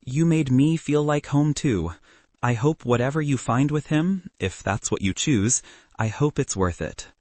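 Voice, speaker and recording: A man's voice: medium pitch, expressive, unhurried, a little mellow, no echo, voice pushed up. A man voicing a heartbroken, principled young male student in an audiobook, earnest and brooding. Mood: wounded, resigned.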